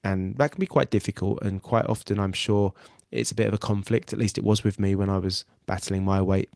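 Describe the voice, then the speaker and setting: A man's voice: lowish voice, fairly even intonation, brisk, vocal fry, darkish tone, no echo, studio quality. A man with a nasal cold, hosting a live weight loss webinar in an earnest, reassuring way and often repeating himself. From England. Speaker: weight loss coach and podcast host.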